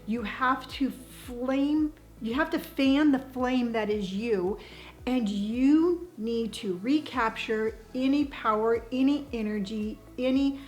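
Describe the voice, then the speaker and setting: A woman's voice: fairly high pitch, takes it slowly, darkish tone. A middle-aged woman talking earnestly to her viewers about taking back her power, emphatic, sighing now and then and restarting her words. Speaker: vlogger sharing life advice. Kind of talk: personal motivational talk to camera.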